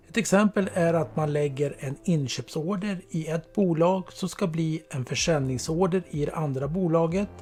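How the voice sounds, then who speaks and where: A man narrating a scripted software tutorial as a product presenter, in a plain, explanatory way. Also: a little high. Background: background music.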